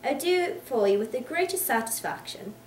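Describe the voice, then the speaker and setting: A woman voicing a hearty, explosive, blustering older English gentleman in an emphatic, theatrical reading of a Victorian novel. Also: sits high, lively intonation, brisk, voice pushed up. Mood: forceful. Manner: emphatic, theatrical declaiming in long tirades. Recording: echoey room, distant mic, faint noise.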